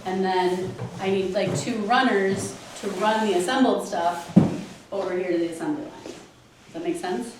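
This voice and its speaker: A woman's voice: mid-range voice, normal speed. A woman with a big teacher voice, explaining the plan to a crowd of volunteers. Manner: loud teacher-style projecting voice. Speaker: mother organizing volunteers. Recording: echoey hall from far off with background noise.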